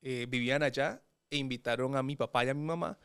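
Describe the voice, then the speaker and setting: A Colombian man, a lawyer being interviewed, talking in a relaxed, thoughtful and measured way with a Colombian accent. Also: mid-range voice, clear, resonant tone. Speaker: guest lawyer. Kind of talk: conversational interview.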